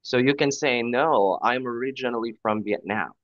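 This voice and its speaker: A man's voice: mid-range voice, moderate pace, a bit bright, no room sound, dull audio. A man tutoring English one-on-one, patiently offering example phrases and encouragement. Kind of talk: one-on-one conversation lesson.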